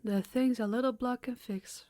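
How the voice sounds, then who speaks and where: A woman playing a kind, practical vampire guide in an audio roleplay, speaking in a warm, reassuring manner. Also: fairly high pitch, steady tone, normal speed, dry recording, natural voice. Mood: caring.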